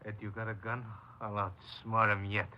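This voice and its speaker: An actor playing a bitter, paranoid killer giving a halting confession in a 1950s radio crime drama. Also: male voice, on the low side, flat delivery, close to an everyday voice. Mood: bitter menace. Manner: halting, brooding, resigned confessional delivery. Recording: dull, noisy 1950s radio recording.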